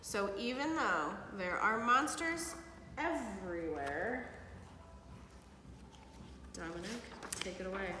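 Animated woman reading aloud in an echoey room. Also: medium pitch, takes it slowly, long pauses. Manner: expressive, animated storytelling. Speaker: children's storybook reader. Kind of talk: read-aloud of a picture book for children. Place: living room, indoors. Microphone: camera a few feet away. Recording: echoey hard-walled room, some background noise.

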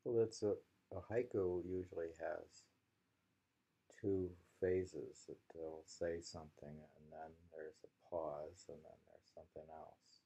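A man in his sixties reading poems aloud with informal commentary, musing and pausing as he goes in a gravelly voice. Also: very deep voice, steady tone, warm tone, dull audio. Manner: unhurried, musing, with pauses. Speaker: poetry reader. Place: small room indoors at home.

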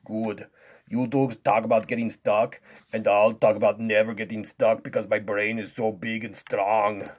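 A man's voice: fairly low pitch, flat delivery, normal speed, breathy edge. A man voicing a vain, dim-witted, boastful strongman in a pompous, sulky manner for a comic kids' lesson. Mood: smug, sulky. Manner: pompous, loud, sulky and dismissive.

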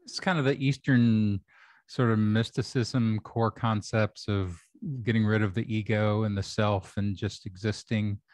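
A man's voice: on the low side, no echo. A middle-aged man from Kentucky hosting a podcast, musing in a casual chat with wry joking asides, speaking into a desk microphone at home. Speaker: podcast host. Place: home room. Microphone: desk microphone on arm.

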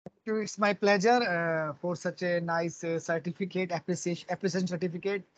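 A middle-aged man with an Indian accent, lecturing and answering in a halting, repetitive way over a video call. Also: high voice, fairly even intonation. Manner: halting, repeats himself. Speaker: guest lecturer. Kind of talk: answering questions in a webinar. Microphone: earphone mic on video call. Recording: dull lo-fi online call.

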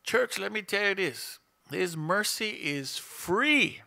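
A man's voice: sits high, expressive, slow pace, gravelly, sharp tone. A middle-aged man with a Hawaiian Pidgin-tinged accent, preaching conversationally from his seat in a small church room and asking the congregation questions. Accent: Hawaiian Pidgin-tinged English. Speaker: pastor. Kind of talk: sermon. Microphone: stand mic in front of him, seated.

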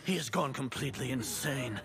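An actor playing a cold, scornful elderly scholar villain in a video game, pompous and commanding. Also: male voice, mid-range voice, brisk, creaky, natural voice. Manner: imperious, pompous. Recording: loud game music over voice.

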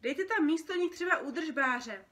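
A woman's voice: high-pitched, a bit bright, pitched above the performer's own voice. A woman voicing an exasperated, bossy feathered office worker on the phone in a storybook. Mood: brisk, bossy. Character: busy, irate feathered office workers ordering dreams by phone. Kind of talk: storybook character reading.

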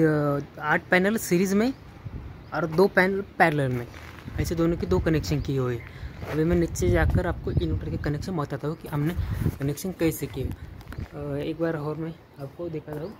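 A man explaining an installation in a casual walkthrough, halting and repeating words, filmed on a handheld phone on a rooftop and indoors. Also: a little high, noisy background. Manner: halting, repeats words and restarts while pointing things out. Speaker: electrician showing an installation. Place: rooftop outdoors, then indoor stairwell and wall. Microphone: handheld phone camera, filming himself off-frame.

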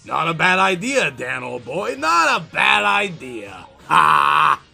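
A man's voice: on the high side, very animated, slow pace, vocal fry, pushed and bright, soft background music, full character voice. A man voicing a theatrical, ghoulish male corpse in a horror comic reading. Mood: gleeful, taunting. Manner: theatrical, melodramatic. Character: gleeful ghoulish male corpse turned pleading victim.

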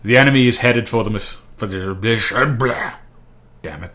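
A man's voice: on the low side, normal speed, sharp tone. A man doing amateur voice acting, delivering script lines with exasperated, sweary asides. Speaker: amateur fandub voice actor.

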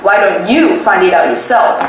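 A woman's voice: mid-range voice, moderate pace. A woman narrating a stage show to the audience, with a friendly, teasing manner. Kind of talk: scripted narration. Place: large stage hall. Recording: very echoey hall with noise.

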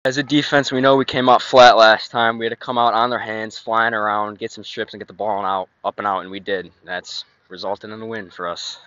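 A young man, a high school lacrosse defender, speaking briskly and with determination in a post-game interview at night. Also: fairly even intonation, fairly bright tone, clear, resonant tone, dry recording, lo-fi sound. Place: outdoor sports field at night. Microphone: handheld mic.

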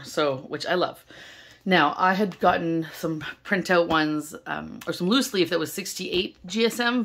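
A young woman, hoarse from losing her voice, chatting excitedly with lots of fillers while unboxing things at a table. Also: on the low side. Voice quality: gravelly, strained. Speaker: stationery vlogger. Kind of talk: casual unboxing vlog. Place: indoors at a table, filming overhead. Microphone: camera held close over the table.

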